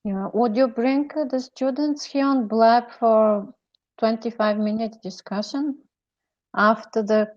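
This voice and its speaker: A woman's voice: a little high, steady tone, takes it slowly, a little mellow. A woman hosting an online panel discussion, speaking hesitantly with many fillers. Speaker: host. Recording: lo-fi online call audio.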